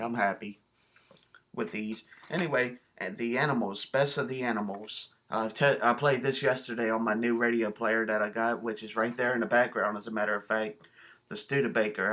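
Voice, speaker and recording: Casual male vlog host, bright sharp tone, folksy and enthusiastic. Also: fairly low pitch, fairly even intonation, brisk. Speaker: video host showing a cassette collection. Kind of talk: casual show-and-tell vlog.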